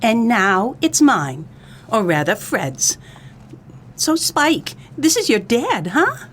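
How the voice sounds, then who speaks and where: A woman plays a brassy dame in a comic noir radio drama. Her voice is on the high side and very animated, with an offhand, sassy menace. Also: slow pace, a little hiss or hum, full character voice. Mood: smug, teasing. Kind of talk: comedic noir radio play acting.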